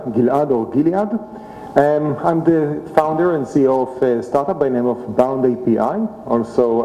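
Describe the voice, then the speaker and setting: Mellow-voiced man on a panel in a hall. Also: mid-range voice. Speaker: startup founder, panelist. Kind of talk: panel talk. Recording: echoey hall, faint room noise.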